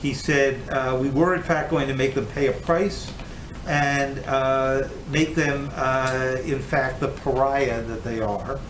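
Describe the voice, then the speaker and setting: A man puts questions as a reporter at a press briefing. His mid-pitched voice is deliberate and a little hesitant. It carries through an echoey room with clear background noise. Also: steady tone.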